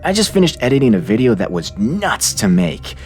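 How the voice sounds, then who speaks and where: An eager, clingy male chatter, acted out by a man in a dramatized message reading. His middle-pitched voice leaps around animatedly as he wheedles and apologizes, over faint music. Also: very animated, fairly quick, darkish tone, close to an everyday voice. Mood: flattering.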